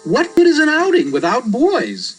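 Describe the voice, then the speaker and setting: Guy doing a keen little boy from a picture book, bouncy higher voice, music playing behind. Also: wildly swooping pitch, normal speed, husky, heavily acted. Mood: eager. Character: eager, food-loving young boy in a picture book. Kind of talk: read-aloud children's storybook voice.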